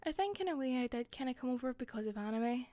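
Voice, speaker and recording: Soft, giggly Scottish woman hostess. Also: high-pitched, expressive, fast, no room sound. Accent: Scottish, softened. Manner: soft, giggly, attentive, drops into whispers. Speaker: hostess in a roleplay. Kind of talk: listener-directed roleplay.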